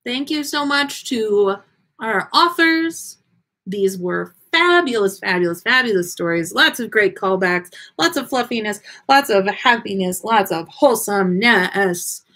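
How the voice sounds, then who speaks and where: A woman hosting a story livestream, reading aloud and chatting in an expressive, effusive way. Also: mid-range voice, measured pace. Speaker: podcast host reading listener stories.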